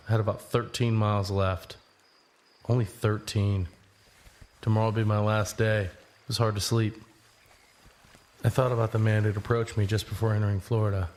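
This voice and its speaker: An actor playing a young long-distance traveler, narrating in the first person in an understated, introspective way for an audio drama. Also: male voice, on the low side, lightly acted. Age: young adult. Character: reflective young long-distance walker telling his journey. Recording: prominent music bed under the voice.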